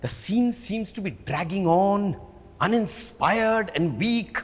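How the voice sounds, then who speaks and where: Indian male stage reader, high-pitched and slow, theatrical narration with dramatic pauses, reverberant hall. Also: lively intonation. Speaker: stage actor giving a dramatic book reading. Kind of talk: dramatic literary reading, scripted narration. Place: large auditorium at a live reading. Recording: echoey hall with long reverb.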